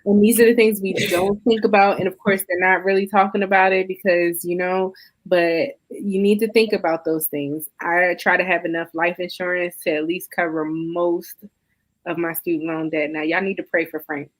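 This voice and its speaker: A woman in a casual panel conversation over a video call, talking in an emphatic, animated way. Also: lowish voice, moderate pace, rough recording. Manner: emphatic, preachy, animated storytelling.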